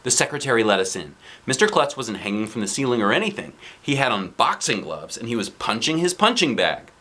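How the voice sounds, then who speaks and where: A man reading a children's storybook aloud in an expressive, animated narrating style. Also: mid-range voice, a little fast. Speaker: storybook reader, dad.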